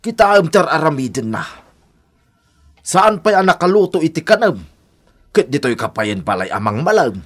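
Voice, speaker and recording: A man's voice: fairly high pitch, sing-song, moderate pace, pauses often. An actor playing a protective, indignant old grandfather in a radio drama, firm and grumbling. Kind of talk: Ilocano radio drama acting.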